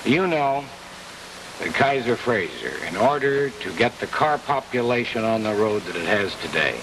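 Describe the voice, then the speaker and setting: Low-voiced American man, distant noisy recording. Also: big pitch swings, moderate pace. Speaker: interview guest, business executive. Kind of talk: 1950s television interview. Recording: distant, noisy 1950s television broadcast.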